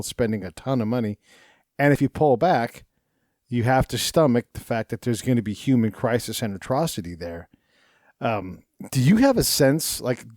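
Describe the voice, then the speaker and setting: A man's voice: medium pitch, dry recording. Laid back guy hosting a podcast, medium voice, chatty, dry close sound. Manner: relaxed, chatty, laughs easily. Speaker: podcast host. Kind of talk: casual interview chat.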